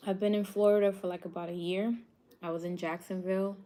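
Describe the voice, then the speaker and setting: A woman's voice: medium pitch, flat delivery, fairly quick, dark tone. A woman from New York hosting a live cooking stream, chatting casually with viewers and laughing often. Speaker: cooking show host.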